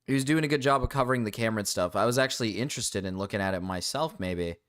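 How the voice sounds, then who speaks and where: A man's voice: lowish voice, very fast, a bit bright. Irritated young man ranting to chat. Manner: rambling, frequent restarts and fillers, irritated. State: voice going low and cracking. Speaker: streamer. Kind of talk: casual livestream rant to chat.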